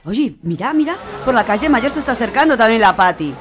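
A woman's voice: on the high side, big pitch swings, fast talker. Woman acting nosy radio-soap gossip amid bar chatter. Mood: curious, gossipy. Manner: colloquial, chatty.

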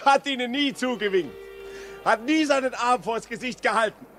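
A mocking, overexcited journalist in an old 1950s radio play. His voice is extremely high for a man and theatrically taunting, with faint music behind it. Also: normal speed, pushed and bright. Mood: mocking, gloating. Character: mocking, overexcited male journalist sneering at ghosts. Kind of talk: 1950s radio drama acting.

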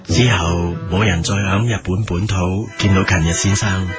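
A man's voice: deep voice, fairly even intonation, moderate pace, lightly acted. A man playing an earnest narrator of a comic mock documentary, with a Hong Kong Cantonese accent. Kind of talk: scripted narration. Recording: music under the voice.

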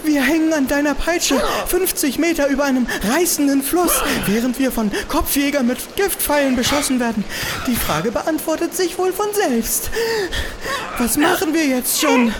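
A woman's voice: high-pitched, moderate pace, background noise, close to an everyday voice. A woman playing an anxious, exhausted and reluctant adventurer's sidekick in a comedic audio drama, whiny and melodramatic. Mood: panicked, exasperated.

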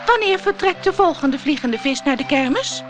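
Woman playing an excitable, high-pitched little girl starfish. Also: moderate pace, music in the background. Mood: eager. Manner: exclaiming, impatient, emphatic. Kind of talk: children's storybook character voice.

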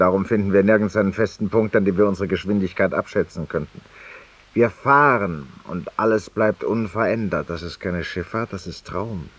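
An actor playing a cynical, self-accusing former lawyer in a 1950s German radio drama monologue, theatrical and ironic, husky, confiding in a listener. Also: male voice, fairly low pitch, natural voice. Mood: dreamy, musing. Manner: theatrical, ironic, confiding, dropping to near whispers. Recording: muffled, roomy 1950s radio recording.

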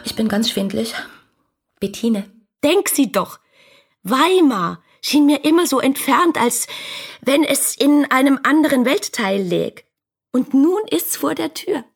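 An actress playing an ardent, dreamy young woman in a German radio play, effusive and lyrical in a breathy voice. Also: high voice, animated, a little slow, heavily acted. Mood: eager, giddy. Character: ardent, dreamy young woman writing rapturous letters about her idol. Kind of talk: German radio play, literary letter monologue.

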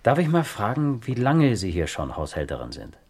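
Deep, husky patient police detective. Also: male voice, wildly swooping pitch, a little mellow, no echo, exaggerated voice. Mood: polite, businesslike. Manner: measured, polite questioning. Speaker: actor in a crime radio play. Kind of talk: German crime radio play acting.

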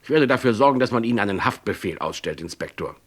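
A man playing a brisk, authoritative senior police official in a German radio crime drama. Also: fairly low pitch, animated. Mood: decisive.

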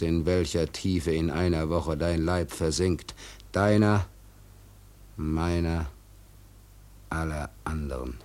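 Brooding, conscience-torn sailor: low register, drawn-out deliberate delivery, grave, literary radio drama. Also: male voice, a little mellow. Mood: anguished. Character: brooding, tormented sailor weighing a killing.

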